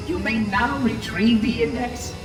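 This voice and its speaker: A man's voice: high-pitched, animated, a little slow, echoey room, far from the mic, over music. A man voicing a chirpy, officious robot monitor in a video game, formal and precise, with robotic processing. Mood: officious, urgent. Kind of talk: video game cutscene acting.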